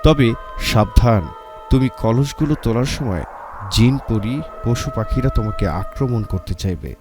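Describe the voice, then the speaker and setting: A man's voice: mid-range voice, lively intonation, unhurried, music in the background, lightly acted. A man voicing a grateful talking tree in a children's storybook tale, pleading and cautioning. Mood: urging, cautioning. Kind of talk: storybook character voice.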